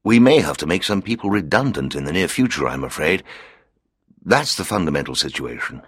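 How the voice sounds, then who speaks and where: A man's voice: deep voice, big pitch swings, fairly quick, fairly bright tone, breathy edge, no echo, studio quality, full character voice. An actor playing a nervous company director in his fifties, speaking hesitantly and apologetically in an audiobook. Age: 50s. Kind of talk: audiobook character reading.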